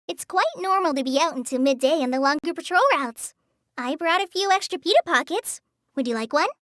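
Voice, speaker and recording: A woman's voice: high-pitched, fairly quick, a bit bright, no echo, studio quality. A woman voicing a shy young female forest ranger apprentice in a game, talking hesitantly with sighs and apologies. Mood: gentle. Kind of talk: game character voice lines. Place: studio booth.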